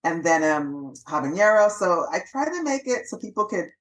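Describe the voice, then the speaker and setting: A woman's voice: on the low side, poor audio. A woman from Los Angeles, a physician and author, chatting upbeat in an interview. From Los Angeles, USA. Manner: quick, animated, upbeat and chatty. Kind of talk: casual interview chat.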